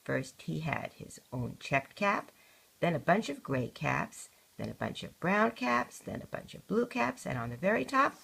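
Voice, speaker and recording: Older female storybook reader, grandmotherly role, raspy and lowish, expressive and lively with deliberate emphasis. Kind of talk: expressive read-aloud children's story.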